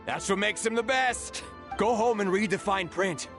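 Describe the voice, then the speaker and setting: An actor playing a cocky, taunting mercenary debt collector in a video game. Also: male voice, high-pitched, moderate pace, creaky, a bit bright, soft background music. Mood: smug, mocking. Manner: smug, taunting. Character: cocky mercenary debt collector henchman, loyal to a wealthy boss.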